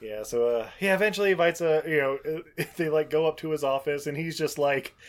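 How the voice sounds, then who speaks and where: A man's voice: on the high side, a little fast. A man co-hosting a podcast, chatting casually through a film recap, laughing a lot and rambling with many fillers. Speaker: podcast co-host.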